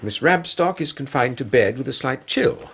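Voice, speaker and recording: A man's voice: mid-range voice, lively intonation, fairly bright tone, slightly rough. An actor playing a stern, pompous middle-aged Victorian father with an English accent and formal manner in a radio drama. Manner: formal, pompous, measured authority. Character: stern, stuffy Victorian father, fretful head of household. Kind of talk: 1990s British radio drama acting.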